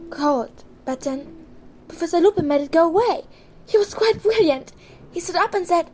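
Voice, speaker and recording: A woman playing an earnest, brisk young schoolgirl witch in an audio drama, speaking precisely. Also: high voice, a little mellow, rough recording. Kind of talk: fan audio drama acting.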